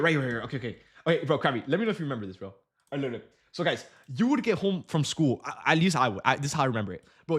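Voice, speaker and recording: A young man casually chatting on a trading livestream, slangy and loose, full of fillers and restarts. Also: medium pitch. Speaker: day trading streamer.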